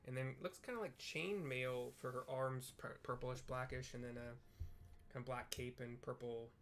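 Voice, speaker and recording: A man's voice: mid-range voice, fairly even intonation, a bit bright. Casual man narrating gameplay, faint noise. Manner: offhand, halting, colloquial. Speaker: gaming video host. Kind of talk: casual play-by-play with scene description.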